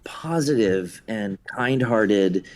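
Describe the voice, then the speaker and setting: A man's voice: fairly low pitch, expressive, slow pace, darkish tone. A man speaking as an interview guest, telling casual anecdotes in an enthusiastic, effusive way. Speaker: podcast guest, theatre director.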